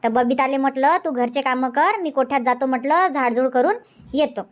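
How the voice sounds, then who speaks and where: A high, bright-voiced village housewife, voiced by a woman in a cartoon comedy. She scolds and grumbles in fast village banter. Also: lightly acted. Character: nagging village housewife Shanta, chatty and sharp-tongued.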